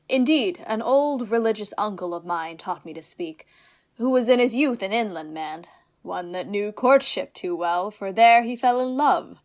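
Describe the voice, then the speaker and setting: A woman acting a witty, lovestruck young noblewoman in disguise in a Shakespearean radio play, theatrical and quick-witted. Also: medium pitch, close to an everyday voice.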